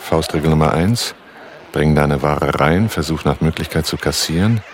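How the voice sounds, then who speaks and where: An actor playing a cool, cautious arms smuggler on the run in a radio drama, with clipped, controlled delivery. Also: male voice, rumbling low, normal speed, slight background noise. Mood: brooding, controlled. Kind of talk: German radio drama dialogue and first-person narration.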